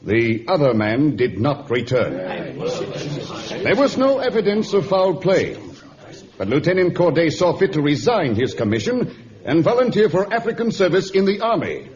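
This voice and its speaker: An actor playing a grave, courteous sea captain, formal and deliberate, in a vintage radio adventure serial. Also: male voice, on the high side, very animated, crowd noise. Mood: grave, accusing. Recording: muffled, faintly noisy old radio recording.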